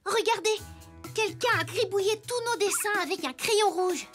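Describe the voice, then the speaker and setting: A woman's voice: very high voice, normal speed, fairly bright tone, soft background music. A woman voicing an eager little wooden boy toy detective in a children's cartoon, earnest and inquisitive.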